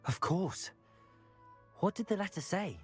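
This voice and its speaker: Earnest young student wizard, animated. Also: male voice, sits high, a little slow, faint music behind. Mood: keen. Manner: earnest, courteous. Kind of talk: video game acting.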